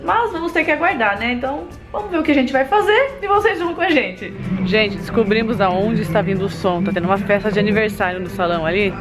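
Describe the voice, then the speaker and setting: A young woman from Brazil vlogging casually to a handheld camera, talking in a lively, teasing way. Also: fairly high pitch, quick pace. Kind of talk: casual vlog chat to camera. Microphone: handheld camera at arm's length. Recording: music playing in the background. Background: loud party music nearby.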